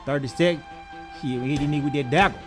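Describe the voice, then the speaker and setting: A man's voice: fairly high pitch, fast, soft background music. A man doing comedic voiceover of basketball players and referees, exclaiming in profane, slangy trash talk.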